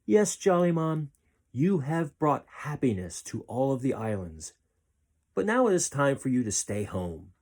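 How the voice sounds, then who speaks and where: A gentle, wise storybook dolphin voiced by a man, mellow and slightly husky. He speaks with solemn, encouraging reassurance, like a magical rescuer. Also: mid-range voice, big pitch swings, measured pace, voice pushed up. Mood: warm, solemn. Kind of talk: storybook character reading.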